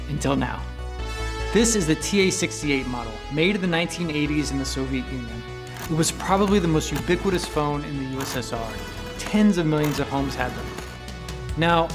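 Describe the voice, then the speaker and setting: Higher-voiced man presenting a DIY build. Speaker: host of an electronics build channel. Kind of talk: scripted presenting to camera, explaining a DIY build. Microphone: lapel microphone.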